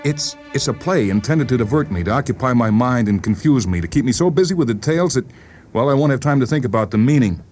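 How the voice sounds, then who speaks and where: A man playing an agitated, paranoid patient in a dramatized story, insistent, halting and repeating himself. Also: mid-range voice, animated, a little mellow, faint music behind, close to an everyday voice. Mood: agitated, accusing. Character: agitated paranoid male patient convinced the world conspires against him. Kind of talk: dramatized short story reading.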